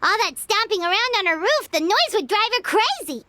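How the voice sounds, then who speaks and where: A woman voicing a small, chatty, cheeky fairy companion in a game, squeaky and childlike, speaking of herself in the third person. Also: big pitch swings, normal speed, bright, forceful tone, full character voice. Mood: chatty, curious. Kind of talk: animated game dialogue.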